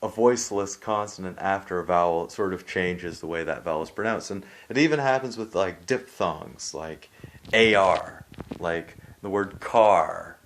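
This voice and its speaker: Low-voiced Canadian guy rambling to camera. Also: normal speed. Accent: Canadian, southern Ontario. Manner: rambling, many fillers like "you know" and "right". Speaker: vlogger. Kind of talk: off-the-cuff talk to camera. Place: room at home, dim lighting.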